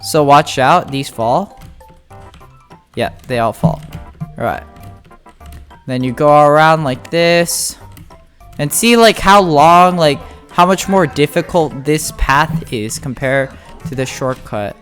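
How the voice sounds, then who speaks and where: A game guide host talks viewers through play in a medium-pitched voice. The delivery is informal and halting, like someone thinking aloud while playing. Music runs behind the commentary. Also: a bit bright. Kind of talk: casual gameplay tutorial commentary.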